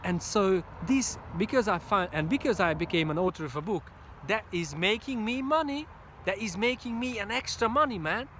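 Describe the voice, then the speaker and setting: A man's voice: high voice, animated, normal speed, fairly bright tone, a little hiss or hum. A man from Hungary with a Hungarian accent, giving a motivational pep talk to camera. He exhorts the listener in a repetitive, emphatic, preacher-like way. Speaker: motivational speaker.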